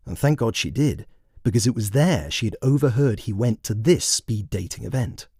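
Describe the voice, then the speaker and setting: A man's voice: on the low side, a little mellow, slightly rough, no echo, clean studio sound. A man reading a scripted short story as its narrator. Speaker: author and narrator.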